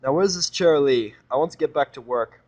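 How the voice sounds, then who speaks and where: A man's voice: fairly high pitch, fairly quick, a little mellow, close to an everyday voice. A man playing an irritable, sarcastic, foul-mouthed human in a story reading, blunt and scornful. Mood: dismissive.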